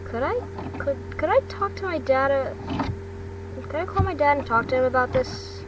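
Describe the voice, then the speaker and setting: A woman's voice: high-pitched, animated, moderate pace. A woman voicing a polite, uneasy teenage schoolboy in a story, earnest and hesitant. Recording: distant mic, noisy background hum.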